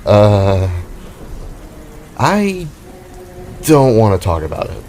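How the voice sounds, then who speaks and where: A man's voice: lowish voice, wildly swooping pitch, very slow, dark tone, a little hiss or hum, heavily acted. A man voicing an anxious, babbling hero in an audio drama. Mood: nervous. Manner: babbling, flustered. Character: anxious self-composed spark hero, a blaster-armed blue boy.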